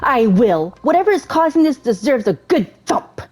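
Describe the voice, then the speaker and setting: A woman's voice: sits high, sing-song, normal speed, darkish tone, voice pushed up. A woman voicing an eager, sweet, plucky young fairy-tale princess from a game, in an earnest singsong. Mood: eager, helpful.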